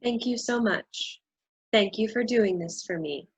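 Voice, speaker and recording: A woman in a staged play reading playing a weary, reluctant scheme participant with a husky voice. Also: medium pitch, a little slow, muffled recording. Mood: dutiful.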